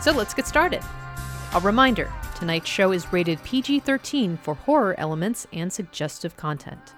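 A woman's voice: mid-range voice, lively intonation, moderate pace. A woman hosting an audio drama podcast, giving a cheerful, upbeat introduction. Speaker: host of audio drama showcase. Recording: dry studio voice over soft music.

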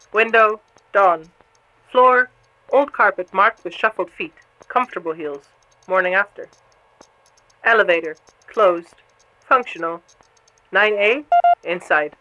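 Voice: medium pitch, sharp tone. A performer voicing a watchful surveillance camera in an audio drama, narrating in clipped, terse fragments. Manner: clipped, terse, observational phrases. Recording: lo-fi, very noisy intercom-like sound.

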